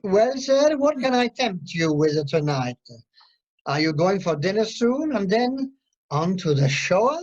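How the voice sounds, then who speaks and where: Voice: medium pitch, sing-song, a little slow, a little mellow. An amateur actor playing an eager, apologetic Italian waiter with flustered broken English in a radio comedy. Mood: eager, polite. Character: eager, apologetic, bumbling Italian cocktail waiter, adult man. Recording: muffled online meeting audio.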